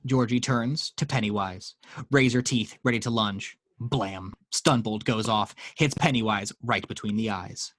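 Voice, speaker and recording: A man's voice: on the low side, a little slow, a bit bright, no room sound. A man reading action descriptions as narrator in a dramatic screenplay table read. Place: at home.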